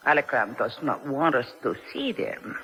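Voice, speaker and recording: A resigned male prisoner speaks at a middling pitch. He phrases things formally and deliberately, wary, in 1970s radio drama style. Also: animated, bright, forceful tone, poor audio. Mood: grave.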